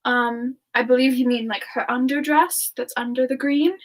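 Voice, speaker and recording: A woman voicing a gentle, polite female demon in a soft-spoken, hesitant semi-ASMR chat with viewers. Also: sits high, fairly even intonation, normal speed. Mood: gentle, chatty. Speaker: streamer answering viewer questions in character.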